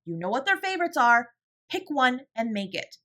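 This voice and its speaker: This is a woman acting as a protective older sibling in an audio roleplay drama. The voice is high for a woman, with a bright, forceful edge. The delivery is emphatic and scolding, with sarcasm aimed at a parent who has let the family down. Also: very animated, moderate pace, full character voice. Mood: stern, commanding. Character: fiercely protective older sibling confronting their father.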